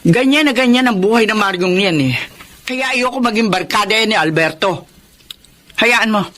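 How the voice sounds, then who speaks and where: A woman's voice: mid-range voice, expressive, normal speed, fairly bright tone, natural voice. An actress playing a concerned older grandmother in a radio drama, scolding her son and shielding her daughter-in-law. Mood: indignant. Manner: scolding, protective.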